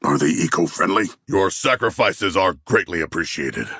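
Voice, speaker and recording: An actor voicing a hulking, proud humanoid shark warrior in a video game, with formal, stilted diction, earnest grandiose declarations and a gravelly, husky voice. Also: male voice, deep voice, animated, moderate pace, sharp tone, dry recording, studio quality, exaggerated voice. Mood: subdued, reflective. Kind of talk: video game character dialogue.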